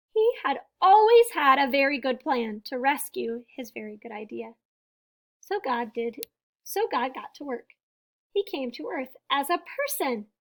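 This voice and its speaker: A woman with a high-pitched voice reads a picture book aloud to children. She phrases things deliberately, leaning on important words. She speaks as a teacher would, explaining simply to young listeners. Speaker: storybook reader and teacher.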